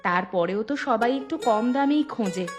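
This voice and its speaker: A woman's voice: medium pitch, expressive, fairly quick, music in the background, close to an everyday voice. A woman voicing a concerned, conciliatory village wife in a moral cartoon, with a coaxing, questioning manner. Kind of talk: Bengali moral cartoon voice acting.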